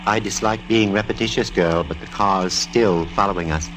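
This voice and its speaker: A man's voice: normal speed, close to an everyday voice. An actor playing a fussy, droll male sidekick, prim and arch, in theatrical 1940s radio style. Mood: dryly worried. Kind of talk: 1940s radio detective acting. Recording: noisy old radio recording, car rumble.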